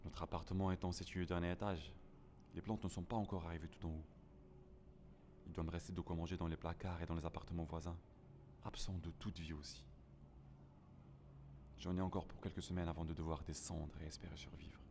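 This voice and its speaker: A man's voice: low-pitched, level tone, brisk, long pauses, background noise. A man playing a lonely, grieving survivor of a plant apocalypse, narrating a first-person audio drama in a somber, melancholic, dramatic way. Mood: mournful, wistful.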